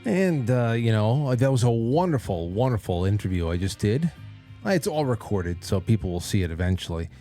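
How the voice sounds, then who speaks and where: Male talk host, low register, informal and rambling, faint music underneath. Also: very animated, moderate pace, mellow. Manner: informal, rambling, lightly profane. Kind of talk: casual solo livestream chat with ad read.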